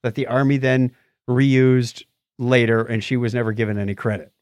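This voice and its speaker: A man's voice: medium pitch, fairly even intonation, moderate pace, a little mellow, no room sound. A man hosting a podcast, casually bantering and reading out odd news stories with jokey asides. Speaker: podcast host.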